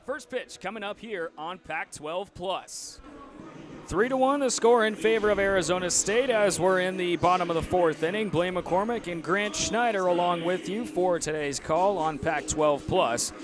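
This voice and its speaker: A young man with an American accent calls a baseball game live. His voice sits somewhat high. He speaks into a headset mic as a play-by-play announcer. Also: normal speed. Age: young adult. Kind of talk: live sports commentary.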